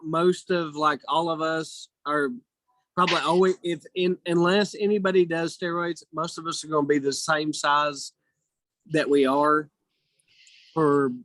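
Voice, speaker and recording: A man's voice: on the high side, level tone, measured pace, a bit bright, no room sound, low-quality recording. A man who arm wrestles, talking in a rambling, loose way in a casual chat.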